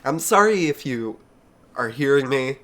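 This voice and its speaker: A man's voice: mid-range voice, expressive, unhurried, a bit bright. Young male streamer, nasal from a cold, casual rambling commentary, chuckles a lot. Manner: rambling, self-mocking, chuckles often. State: congested with a cold. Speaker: video game streamer.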